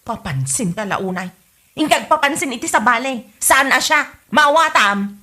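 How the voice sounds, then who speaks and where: A woman's voice: fairly high pitch, very animated, moderate pace, bright, forceful tone. A woman with an Ilocano accent playing a snippy, dismissive village woman in a comedy audio drama. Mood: scornful.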